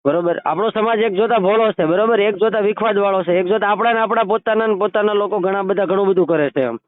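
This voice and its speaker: Dull lo-fi phone call, guy talking real fast, keeps checking you agree. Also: high-pitched, dry recording. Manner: repeatedly seeks agreement with tag questions. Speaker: caller. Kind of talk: casual phone conversation.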